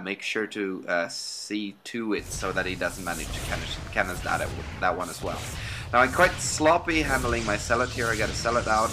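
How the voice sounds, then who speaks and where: A young man whose first language is Swedish, casually commentating his own game late at night, rambling with many ums and uhs into a laptop mic. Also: on the low side. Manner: rambling, self-deprecating, lots of ums and uhs. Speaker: game caster. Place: at home at night. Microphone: laptop built-in mic.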